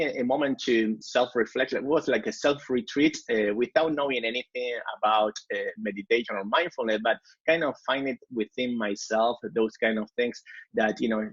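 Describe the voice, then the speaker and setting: A man's voice: mid-range voice, a bit bright, breathy edge, muffled recording. A man in his forties from Argentina with an Argentine Spanish accent, answering interview questions in a reflective way, full of "you know" fillers. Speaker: guest.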